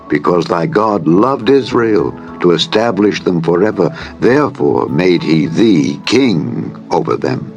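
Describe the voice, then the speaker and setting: A man's voice: low voice, a little mellow, muffled recording, exaggerated voice. A man voicing an admiring, reverent visiting queen in a formal, declamatory scripture reading.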